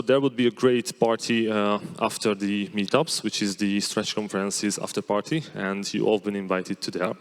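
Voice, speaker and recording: Nervous Hungarian man opening an event. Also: fairly low pitch. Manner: nervous, self-deprecating, halting. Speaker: meetup organizer and host. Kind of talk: event introduction.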